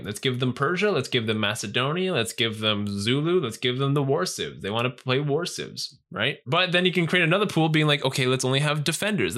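A man co-hosting a casual gaming podcast, animated and joking as he goes through patch notes. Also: medium pitch. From Canada. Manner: animated, joking, self-mocking. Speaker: podcast co-host. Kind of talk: casual chat about game patch notes.

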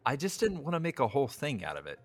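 A man's voice: medium pitch, huge pitch swings, fast talker, soft background music. An actor playing an awkward, evasive young man on a blind date in an audio drama comedy, hesitant, stammering and apologetic. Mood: confused, apologetic. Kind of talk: audio drama acting, romantic comedy dialogue.

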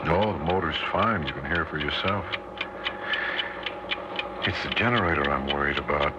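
Deep-voiced, weary, steady American father. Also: normal speed. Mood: grim, resigned. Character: weary, steady, reassuring father sheltering his family underground. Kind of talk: 1960s radio drama acting. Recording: muffled 1960s radio recording, noisy.

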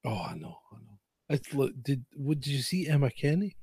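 A man's voice: medium pitch, big pitch swings, a little fast, warm tone, husky, dry recording, studio quality. A man from England in casual chat, wry and sighing, with some swearing.

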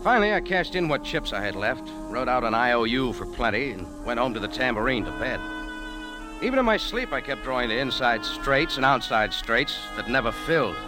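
An actor playing a tough, unflappable bar owner, dry and sardonic in clipped slangy talk, in a 1940s radio drama. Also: male voice, medium pitch, sing-song, fairly quick, over music, natural voice, rough recording. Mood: wry narration. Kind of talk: 1940s radio acting with first-person hardboiled narration.

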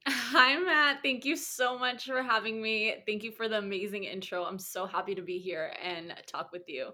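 Bright, bubbly young woman guest. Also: mid-range voice, normal speed. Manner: bubbly, laughs often. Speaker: podcast guest. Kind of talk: casual interview.

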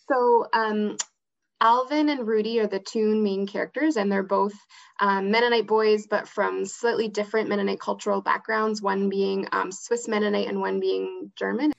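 A woman's voice: mid-range voice, vocal fry. A woman chatting casually as an interview guest about acting work. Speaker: interview guest, theatre actor and outreach manager. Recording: slightly dull, lo-fi audio.

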